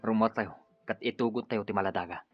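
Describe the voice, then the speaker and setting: Man acting cold, husky dutiful henchman. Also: lowish voice, steady tone, fast, dry recording, muffled recording, soft background music, close to an everyday voice. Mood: commanding. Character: cold dutiful henchman who obeys his boss. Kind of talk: radio drama acting in Ilocano.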